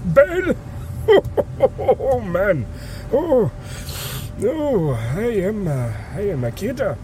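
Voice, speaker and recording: An actor playing a grumbling, joke-loving old priest in an audio sitcom, teasing and sardonic. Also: male voice, high-pitched, very animated, extremely slow, warm tone, slight background noise, full character voice. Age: older. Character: teasing, grumbling old male priest who loves his own jokes.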